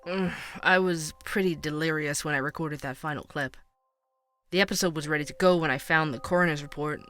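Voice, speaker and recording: A man's voice: a little high, fairly even intonation, a little fast, bright, forceful tone, faint music behind. A man playing an earnest podcast investigator. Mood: determined. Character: young male podcast host, dogged true-crime investigator. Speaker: podcast host. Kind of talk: fiction podcast drama.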